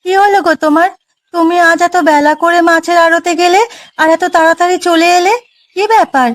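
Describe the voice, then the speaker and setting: A woman's voice: extremely high pitch, steady tone, brisk, no echo. A woman playing a concerned, practical village housewife in a cartoon, speaking in an earnest way. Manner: earnest, questioning. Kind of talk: Bengali cartoon story acting.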